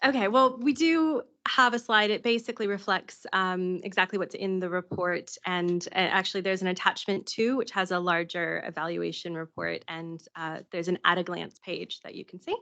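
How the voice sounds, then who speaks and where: New Zealand woman, lowish voice, staff report at a council meeting, muffled audio. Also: steady tone, normal speed, gravelly, hardly any pauses, fairly bright tone. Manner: many ums and uhs, reads out figures. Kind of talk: meeting presentation.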